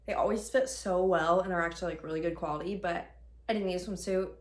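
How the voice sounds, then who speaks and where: A young woman with a slightly hoarse voice after being sick, chatting casually and rambling with lots of fillers in a beauty vlog filmed on her phone at home. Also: on the low side, steady tone, fast talker. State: hoarse, recovering from illness. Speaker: beauty vlogger. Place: at home, sitting on the floor. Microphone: phone camera.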